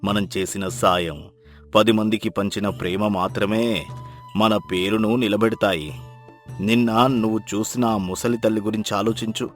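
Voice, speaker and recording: Man playing a gentle, wise old blind villager. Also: fairly low pitch, steady tone, soft background music, natural voice. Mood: gentle, reflective. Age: older. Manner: measured, moralizing, fatherly. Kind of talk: dramatized moral story.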